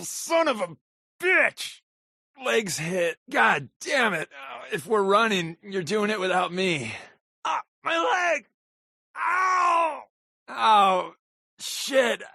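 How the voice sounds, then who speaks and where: An actor playing a wry, sarcastic secret agent companion in a post-apocalyptic video game, with a deadpan, wisecracking manner. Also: male voice, sits high, creaky, slightly rough, dry recording, studio quality, heavily acted. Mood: pained.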